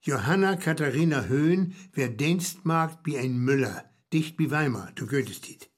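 An actor playing a wry, knowing storyteller in radio play banter, husky, with a Low German accent and a dry, explanatory manner. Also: male voice, expressive, measured pace. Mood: dry, grave.

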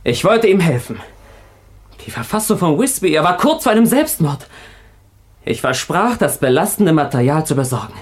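Agitated male fugitive, fairly high voice, halting emotional confession, radio thriller monologue. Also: big pitch swings. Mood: tense, confessing. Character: agitated escaped convict confessing a hidden truth. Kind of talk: radio crime drama monologue.

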